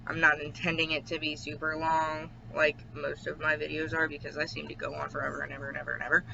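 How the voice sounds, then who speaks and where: Man, home video diary, halting speech full of ums, flat delivery, slightly irritated throat. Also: on the high side, creaky. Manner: slow, hesitant, many ums. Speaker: vlogger. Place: at home with his dog. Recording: dull lo-fi with faint hiss.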